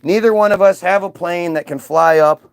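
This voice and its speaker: A man's voice: high-pitched, normal speed, creaky, clear, resonant tone, no room sound. A man hosting a livestream, arguing heatedly with viewers in a mocking, combative way, swearing and restarting his sentences.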